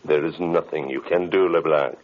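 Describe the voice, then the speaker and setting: An actor playing a gloating, ruthless magician in old radio horror, with slow, theatrical, taunting delivery. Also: male voice, very deep voice, steady tone, slight background noise. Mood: gloating menace. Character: gloating, ruthless villainous magician, menacing older schemer. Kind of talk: 1940s radio horror acting. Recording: dull old radio recording.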